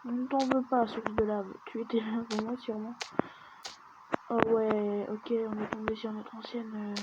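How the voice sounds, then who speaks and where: A woman talks her way through a video game in a loose, chatty let's-play style, often sinking into a near-whisper as she mutters to herself. Her voice sits on the high side. The recording is muffled and lo-fi, with a clear steady noise running underneath. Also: moderate pace. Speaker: gaming video creator. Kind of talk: casual let's-play commentary. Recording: dull lo-fi mic with steady background noise.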